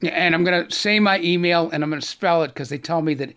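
Middle-aged guy w/ a boston accent, bit of a high voice, earnest radio guest, keeps restarting. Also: a bit bright, clean tone. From Boston area, USA. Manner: earnest, halting, many restarts and fillers. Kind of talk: radio interview.

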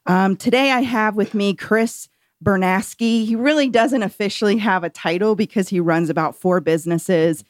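A woman's voice: medium pitch, normal speed, dry recording, clean studio sound. Conversational woman hosting a radio show. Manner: friendly and conversational, with fillers. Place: radio studio.

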